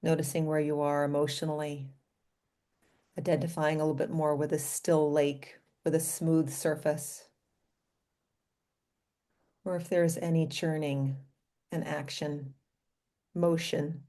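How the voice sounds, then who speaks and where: A woman leading a guided meditation in a gentle, inviting manner, speaking in short phrases with pauses between them. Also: deep voice, level tone.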